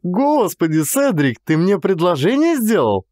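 Guy doing a playful young girlfriend for an audiobook, higher voice, teasing n tender, really swoopy intonation. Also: big pitch swings, unhurried, a little mellow, no echo, clean studio sound. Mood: amused. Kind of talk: audiobook character dialogue.

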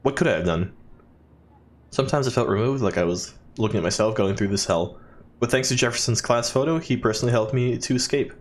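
A man's voice: medium pitch, quick pace. A man doing a let's play, reading in-game journal text aloud expressively and dropping in jokey asides. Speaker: let's player.

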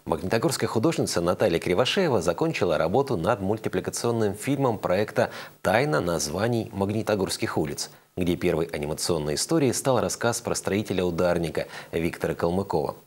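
A man's voice: sits low, animated, a little fast, slightly rough. A young man reading a scripted news introduction as an anchor in a TV studio.

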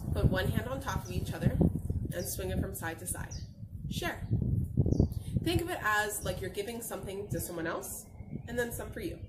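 A young adult woman reading a picture book to children in an expressive, encouraging, sing-song way, pausing to ask questions. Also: medium pitch. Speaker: teacher reading aloud to children. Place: outdoors on a garden patio. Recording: noisy outdoor recording with birdsong.

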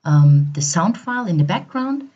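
A woman narrating a product demonstration step by step in a halting way, as a voice-over. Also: on the low side, very animated, warm tone, slightly rough. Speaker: software demo presenter. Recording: dull, slightly muffled voice-over.

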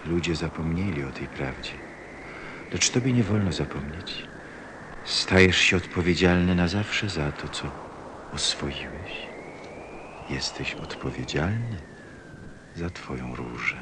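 A man playing a gentle, wistful fox who craves friendship, speaking earnestly and tenderly in an audio play. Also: low voice, expressive, background noise. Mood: tender, solemn. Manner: slow, earnest, tender delivery. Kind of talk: Polish-language audio play acting.

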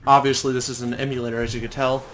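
A man's voice: medium pitch, little pitch movement, racing, a bit bright, slight background noise. A young man hosting a let's play, rambling and apologetic in casual gameplay commentary. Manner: rambling, self-conscious, apologetic. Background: game music.

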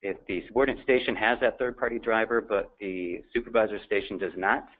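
A man's voice: fairly low pitch, fairly even intonation, fairly quick. A man presenting a technical webinar, explaining things in an informal, matter-of-fact way. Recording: thin phone-like line.

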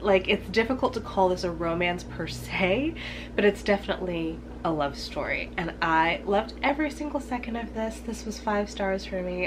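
A young woman talks straight to the camera in a casual, chatty way and laughs between her sentences. A lingering cold leaves her voice husky, and her pitch sits in a middle range. A faint hiss runs under the recording. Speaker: book vlogger. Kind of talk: casual book tag talk to camera. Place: room with bookshelves behind.

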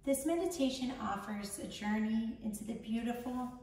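Female meditation guide, higher-pitched with some creak, gentle and unhurried, recorded in a very reverberant room. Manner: soothing, gentle, measured with long pauses. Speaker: meditation guide, founder of a yoga charity. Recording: echoey room, distant mic, faint noise.

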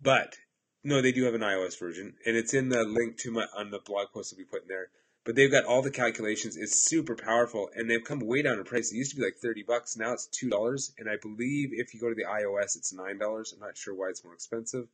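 Stuffed-up man in his forties, lowish voice, upbeat, chatty, webcam in home office. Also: fast talker, a bit bright, husky. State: stuffed up from a cold. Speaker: electrical instructor. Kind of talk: casual live webcam talk. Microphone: laptop webcam at desk.

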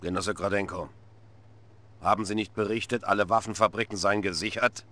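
A man's voice: lowish voice, fairly even intonation, brisk, pushed and bright. A male actor playing a middle-aged Soviet dictator in a game cutscene, coldly cruel and threatening. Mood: stern interrogation. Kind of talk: video game cutscene acting.

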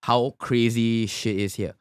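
A man's voice: mid-range voice, steady tone, clean tone, no echo. A man chatting as a podcast co-host, trading quips and telling a story in a studio. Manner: quick quips, recounting a story. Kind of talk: casual comedic podcast banter.

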